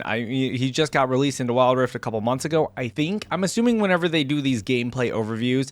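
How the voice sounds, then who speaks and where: A man's voice: medium pitch, dry recording. Chatty man reacting with curiosity. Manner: curious, chatty, many likes and ums, laughs often. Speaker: gaming reaction channel host. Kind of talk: casual reaction commentary.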